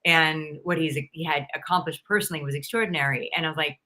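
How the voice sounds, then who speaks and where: A woman appearing as a guest charisma coach on a live stream, telling stories in a lively way, acting out what people said to her and laughing often. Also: low-pitched, fast talker. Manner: acts out quoted people's lines.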